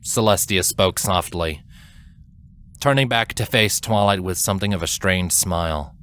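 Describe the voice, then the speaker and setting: A man reading scripted dramatic fiction as a narrator, in a measured, solemn storytelling manner. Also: low-pitched, fairly bright tone.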